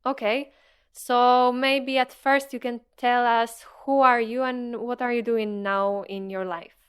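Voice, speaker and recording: A woman's voice: a little high, fairly even intonation, slow pace, clear, resonant tone. A young woman from Poland with a Polish accent and Polish as her first language, hosting a casual interview chat. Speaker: interviewer, host.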